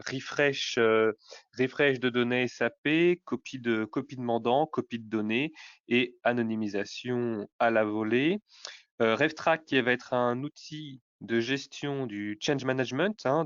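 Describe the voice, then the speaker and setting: A man's voice: mid-range voice, no echo. A man hosting a webinar, running through a list of products with many hesitations and fillers. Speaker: webinar host. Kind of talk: webinar presentation. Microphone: video call. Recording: dull, dry webinar audio.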